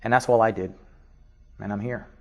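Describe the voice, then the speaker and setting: A middle-aged man from Spanish Harlem with a New York accent, giving an animated interview, laughing often and restarting his sentences. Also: on the low side, normal speed. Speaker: interview guest, business leader. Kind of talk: casual interview talk.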